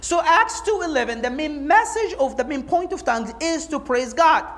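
High-voiced woman preaching emphatically. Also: moderate pace. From Egypt. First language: Arabic. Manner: emphatic, animated preaching with rhetorical questions. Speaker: Bible teacher. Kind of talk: sermon-like teaching talk.

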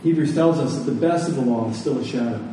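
Male preacher, mellow high voice, earnest sermon delivery, repeated key phrases, very reverberant room. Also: quick pace. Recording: echoey room, distant mic, faint noise.

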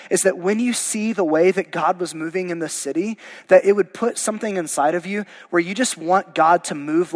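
Man preaching, pastor, losing his voice, slightly high voice, casual sermon style, long church-hall reverb. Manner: informal, conversational preaching with restarts. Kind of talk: verse-by-verse sermon. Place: church sanctuary.

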